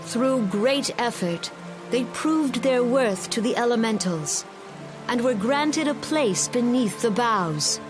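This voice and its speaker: An actress playing a solemn female forest leader giving a measured, formal ceremonial speech in a fantasy game. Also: a little high, animated, rough recording. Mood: solemn, reverent. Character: gentle, solemn female forest elder leader addressing her people. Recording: music and rain behind the voice.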